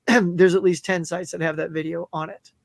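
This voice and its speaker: A middle-aged man, a guest YouTuber chatting on a live stream, telling stories in an animated way and acting out what others said, his voice wearing thin. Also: high voice, normal speed, creaky, no room sound. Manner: animated storyteller who acts out what others said. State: losing his voice.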